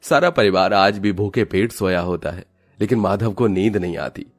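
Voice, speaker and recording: A man narrates an animated moral story in a steady, even storytelling manner. His voice sits low for a man. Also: moderate pace.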